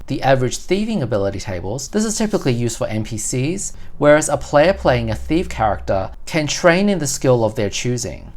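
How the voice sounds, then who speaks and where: A host giving an explanatory unboxing commentary on collectible game books, speaking in a measured, methodical way. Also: mid-range voice, a little mellow.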